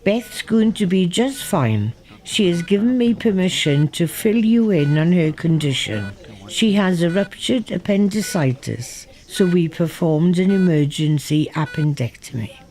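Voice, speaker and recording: A woman's voice: fairly low pitch, animated, unhurried, dark tone, rough recording. A woman acting a composed hospital doctor in a radio play. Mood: reassuring, factual.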